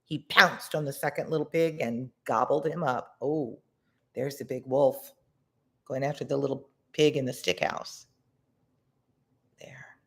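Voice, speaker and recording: A read-along storyteller reading a children's tale expressively and deliberately, pausing to explain words simply like a tutor. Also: mid-range voice, creaky, bright, forceful tone.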